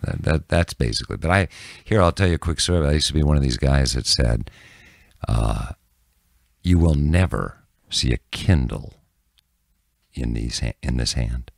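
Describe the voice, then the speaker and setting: An older man chatting casually as a podcast guest, telling stories in an animated way and repeating phrases for emphasis, close on the microphone. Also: rumbling low, normal speed, creaky, no room sound. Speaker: podcast guest, TV news broadcaster. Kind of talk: casual chat, storytelling. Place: small indoor room with bookshelves. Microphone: close podcast microphone on arm.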